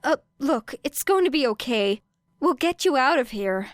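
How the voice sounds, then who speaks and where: A woman voicing an awkward male police officer, halting and unsure as he tries to reassure a child. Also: sits high, expressive, dry recording, clean studio sound, pitched above the performer's own voice. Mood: flustered, reassuring.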